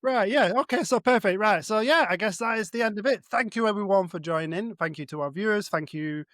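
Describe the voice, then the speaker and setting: A man's voice: sits high, fairly quick, fairly bright tone, no room sound, clean studio sound. A man co-hosting a podcast who chats casually, giggles often and restarts his sentences. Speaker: podcast co-host.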